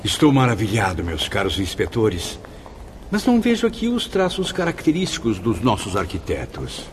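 A man's voice: lowish voice, wildly swooping pitch, normal speed, slight background noise. A man playing an earnest, grateful spirit student in a radio drama, speaking formally and reverently. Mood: awed. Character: Camilo, earnest, grateful male spirit student recounting his afterlife. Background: background music.

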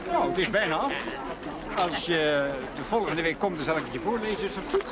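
A man's voice: a little high, big pitch swings, fairly quick. An actor playing a village man chatting after church in a naturalistic television drama. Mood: friendly, approving. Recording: outdoor crowd and loud music, old TV audio.

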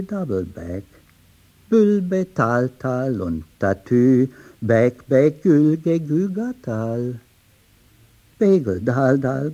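Slow chanting man, dull archival sound. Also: mid-range voice, dark tone. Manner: rhythmic, chanting, playful syllable play. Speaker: poet reciting sound poetry. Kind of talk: sound poetry recitation.

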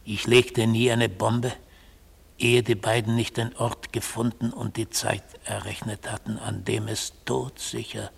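An old mystical bomber god under interrogation. His voice is very husky and full of gravel. He speaks with deliberate irony and complete self-possession, in the style of a 1970s radio drama. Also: lowish voice, flat delivery, heavily acted. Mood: sardonic, proud. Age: older. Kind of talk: 1970s literary radio play acting.

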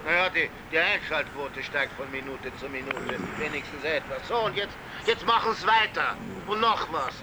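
A man's voice: a little high, moderate pace, few pauses, crowd noise, lightly acted, poor audio. A male actor playing an indignant, bossy radio station boss, scolding in an agitated way over a car call. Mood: bossy, grudging. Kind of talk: radio drama acting. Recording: loud traffic noise from a car call. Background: city traffic and vehicle noise.

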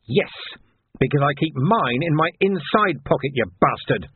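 A man's voice: on the high side, expressive, moderate pace, slightly rough, no echo, pitched above the performer's own voice. A man voicing an arrogant, irate secret-police officer in an audiobook, snarling with indignation and contempt. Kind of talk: audiobook character acting. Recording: narrow band, phone-like sound.

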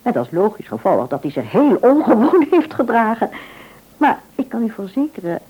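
Tactful boss's wife, radio play: mellow tone, very lively intonation, deliberate, courteous, quietly in control. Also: female voice, on the high side, breathy edge. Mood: reassuring, tactful. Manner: polite, deliberate, gently probing. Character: tactful, probing, self-assured wife of a shop owner. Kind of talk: naturalistic radio play acting.

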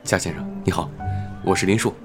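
A polite, conscientious man who is often bewildered. The voice is low and natural, in audio drama style. Some music sits behind it. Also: brisk. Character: polite, bewildered male actor, modest and conscientious. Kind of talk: audio drama acting with inner monologue.

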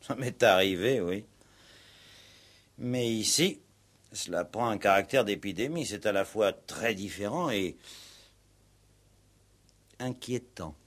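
An actor playing a calm, probing police commissioner in a radio play, measured and drily ironic. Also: male voice, lowish voice. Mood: grave, measured. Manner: measured, interrogating, gently ironic. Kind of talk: 1960s French radio play acting.

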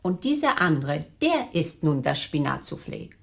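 A woman in a German radio crime drama playing a composed, strict aristocratic mother with a measured, instructive manner. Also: sits low, sing-song, vocal fry, slightly rough, exaggerated voice. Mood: coolly coaxing.

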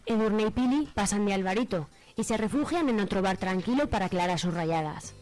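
A woman's voice: medium pitch, expressive, a little fast, darkish tone. A woman with a Spanish accent giving scripted narration as the narrator of a radio soap.

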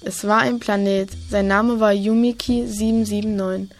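A woman's voice: medium pitch, fairly even intonation, normal speed. Woman narrating a children's story over music. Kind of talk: scripted storytelling narration for a children's audio play.

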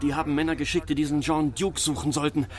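An actor playing an anxious, urgent go-between in a German radio drama, speaking in a pressing, insistent way. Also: male voice, fairly high pitch, level tone, fast, faint music behind, lightly acted. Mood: urgent, warning. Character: anxious, urgent male go-between warning of danger.

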